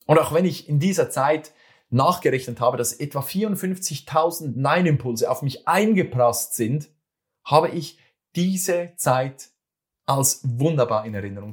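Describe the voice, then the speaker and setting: A man with a Swiss German accent speaks in an earnest, motivational way, addressing the listener directly. He restarts and repeats phrases as he talks, and his pitch sits in the middle range for a man. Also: normal speed. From Switzerland. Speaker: speaker giving communication advice. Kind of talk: motivational monologue.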